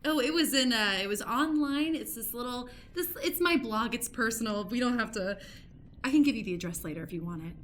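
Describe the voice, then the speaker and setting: A woman's voice: on the high side, animated, a little fast, fairly bright tone, a little hiss or hum. A woman playing an eager, awkward amateur journalist in an improvised radio play, hesitant and prying in a polite way.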